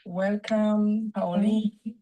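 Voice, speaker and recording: A woman from Poland with a Polish accent hosts a live online premiere and guides listeners through a gentle reflection in a soft, inviting way over a video call. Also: mid-range voice, little pitch movement, slow, a loud crowd, poor audio. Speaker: host.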